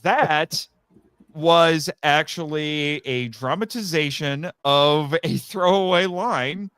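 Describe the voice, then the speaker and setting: A man's voice: fairly high pitch, takes it slowly, a bit bright, smooth, dry recording, studio quality. A man talking casually as a podcast guest and audio drama writer, chatty and rambling, with frequent asides.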